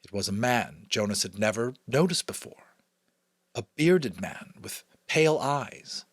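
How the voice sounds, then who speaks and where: A man's voice: medium pitch, lively intonation, a little slow, breathy edge, no room sound. An American man narrates a novel with theatrical flair. His intonation moves widely and his phrasing builds suspense, in a dry recording with little room sound. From United States. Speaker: audiobook reader. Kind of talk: dramatic scripted narration.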